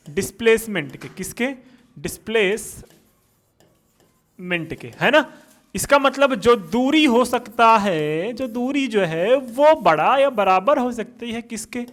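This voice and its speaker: A man's voice: very high-pitched, fairly bright tone. A male teacher giving a physics lesson for exam students, asking questions and answering them himself.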